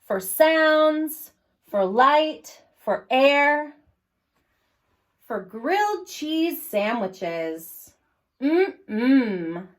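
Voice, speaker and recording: High-voiced woman hosting children's story time. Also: very slow. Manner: animated, encouraging, addresses young listeners directly. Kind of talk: reading and singing aloud to young children.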